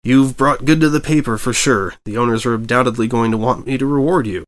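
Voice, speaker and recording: A man voicing a furious, frazzled mare who edits a newspaper, shouting and fuming at her reporter in a fanfic audiobook reading. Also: mid-range voice, brisk, natural voice. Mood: menacing.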